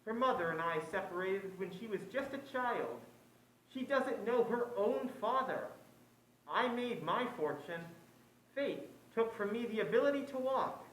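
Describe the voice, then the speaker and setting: A man's voice: high-pitched, lively intonation, unhurried, fairly bright tone. A man playing a wealthy, menacing older father in a noir stage play, formal and grandiose in manner. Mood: brooding. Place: indoor stage play performance. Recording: echoey stage, distant mic, faint noise.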